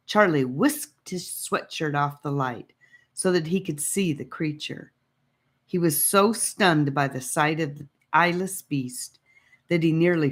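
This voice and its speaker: A woman reading an adventure audiobook as a dramatic storybook narrator. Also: deep voice.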